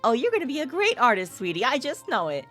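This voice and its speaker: Doting visual novel mom, played by a female streamer: high, sweet, gushing encouragement over soft music. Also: huge pitch swings, fast, exaggerated voice. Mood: proud, adoring. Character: doting, encouraging mother of a young boy. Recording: background music under the voice.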